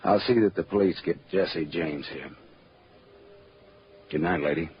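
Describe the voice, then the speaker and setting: An actor playing a wry, world-weary private detective in a terse, sardonic 1940s radio noir style. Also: male voice, low voice, measured pace, background noise. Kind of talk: 1940s radio noir acting and first-person narration. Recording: dull old radio recording with loud music.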